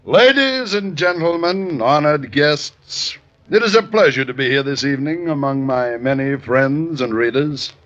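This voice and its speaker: A man's voice: a little high, lively intonation, unhurried, a bit bright. An actor playing a stubborn, scheming newspaperman running for office in a 1950s radio drama. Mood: formal, strained. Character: stubborn, scheming male newspaper boss and candidate. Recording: dull, hissy old radio recording.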